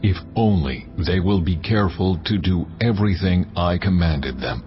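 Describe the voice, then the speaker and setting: A man's voice: sits low, lightly acted. A man voicing God, solemn and stern. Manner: slow, weighty proclamation. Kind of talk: dramatized audio Bible. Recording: dull lo-fi audio over music.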